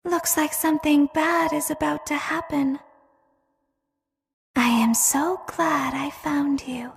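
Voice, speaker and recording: A woman voicing an eerie, childlike animatronic clown robot in a horror game, soft-spoken and deliberate, with calm menace. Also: sits high, creaky, heavily acted. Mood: softly menacing. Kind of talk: scripted horror game voice acting. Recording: echoey long hall reverb.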